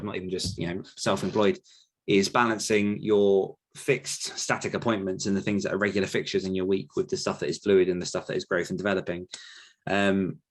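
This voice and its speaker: Give a man with a fairly low voice in casual podcast talk. He works through his answers aloud, circling around a point in a rambling, conversational way as a host and coach.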